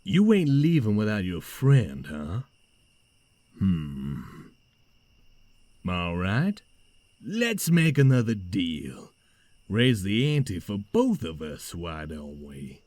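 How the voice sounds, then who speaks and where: A man's voice: deep voice, huge pitch swings, slow, pauses often, a little mellow, no echo, exaggerated voice. A man playing a sly, menacing scarecrow villain in an audio drama, taunting in a folksy, theatrical Southern or Western American drawl. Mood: amused, taunting. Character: menacing male scarecrow, sly Wild West villain card dealer. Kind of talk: audio drama character acting.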